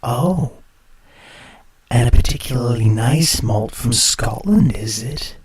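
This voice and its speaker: A man's voice: medium pitch, expressive, slow, a little mellow, full character voice. An actor playing a sardonic male late-night radio host who teases his callers with knowing jabs. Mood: sly. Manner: smooth, teasing, knowing and sardonic. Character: sly, mocking male radio host of the spirit hour. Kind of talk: audio drama acting.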